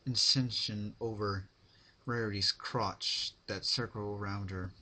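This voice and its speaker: A man narrating fan fiction as a dramatic reading, with deliberate phrasing. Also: lowish voice, fairly even intonation, pushed and bright.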